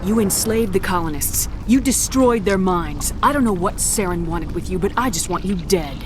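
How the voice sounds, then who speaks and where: A woman's voice: medium pitch, expressive, moderate pace, over music, close to an everyday voice. Video game role: tough female commander, played by an actress, terse and uncompromising, with score underneath. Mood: cold anger. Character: hard, blunt female military commander.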